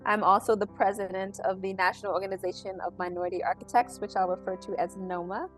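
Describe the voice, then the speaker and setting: A woman architect from Queens, New York, speaking in a measured way in an interview. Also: mid-range voice, steady tone, faint music behind. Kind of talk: interview storytelling.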